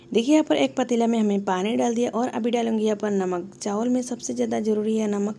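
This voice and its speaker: Woman recipe host, mellow and gravelly, steady instructive voice-over with faint noise behind. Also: mid-range voice. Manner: instructive, talks the viewer through each step. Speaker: cooking channel host.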